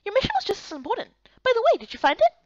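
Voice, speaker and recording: A woman's voice: very high-pitched, wildly swooping pitch, rapid-fire, no room sound, muffled recording, voice pushed up, heavily acted. A woman voicing an eager, feisty young heroine in a fantasy game, exclamatory and emotive. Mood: upbeat.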